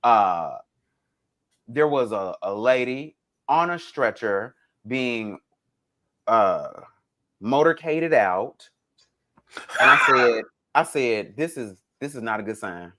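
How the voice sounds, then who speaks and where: A man's voice: mid-range voice, slow pace, long pauses. A man chatting casually as a podcast co-host, telling stories in a dramatic way and acting out what was said. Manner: animated, dramatic retelling with acted-out quotes. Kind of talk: casual conversational storytelling.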